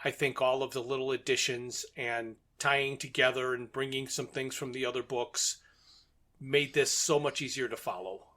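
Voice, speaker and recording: A man's voice: mid-range voice, steady tone, normal speed, pushed and bright. A man co-hosting a podcast, recapping and commenting on a story in an informal, orderly way. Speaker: podcast co-host. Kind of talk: plot recap in casual podcast talk.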